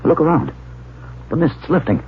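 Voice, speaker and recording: Doctor: bitter, detached, gravelly, very animated intonation. Vintage 1950s radio sound, narrow and tinny. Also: male voice, fairly high pitch. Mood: detached, curious. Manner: dry, sardonic, deliberate. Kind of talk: 1950s radio drama acting. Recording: noisy, tinny 1950 radio recording with hum.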